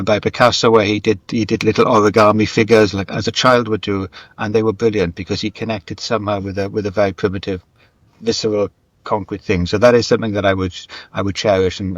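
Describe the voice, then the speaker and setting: A man's voice: on the low side, flat delivery, quick pace, no room sound, muffled recording. A middle-aged or older British man answering interview questions thoughtfully, often repeating words as he forms his ideas. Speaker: writer being interviewed, script editor and teacher. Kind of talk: reflective interview conversation.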